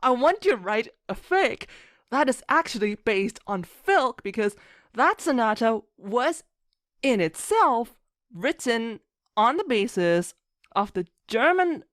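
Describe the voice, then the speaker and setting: A woman's voice: on the high side, big pitch swings, slow pace, a bit bright, dry recording, studio quality. A woman chatting casually as an interview guest, rambling enthusiastically and acting out her own thoughts as she goes. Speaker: podcast guest, fan fiction writer.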